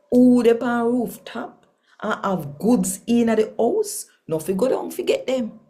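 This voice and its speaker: Slow, mellow Jamaican woman reading scripture. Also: mid-range voice. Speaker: channel host, scripture reader.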